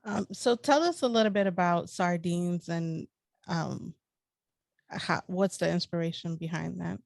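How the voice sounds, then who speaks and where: A woman co-hosting a podcast, asking questions softly with some hesitation. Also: fairly low pitch, unhurried, gravelly, no room sound, clean studio sound. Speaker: podcast co-host. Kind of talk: casual podcast chat.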